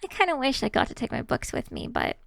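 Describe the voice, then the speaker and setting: A woman's voice: high-pitched, wildly swooping pitch, quick pace, vocal fry, no room sound, clean studio sound, exaggerated voice. A woman voicing a shy, cheery young kitsune barista in an ASMR roleplay, chatting to the listener in a bashful, hesitant, giggly way. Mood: wistful, rambling.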